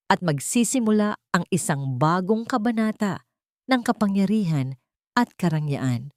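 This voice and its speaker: Woman, lowish pitch, scripted dramatic narration, deliberate phrases, dry recording. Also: a little mellow, no echo. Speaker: story narrator.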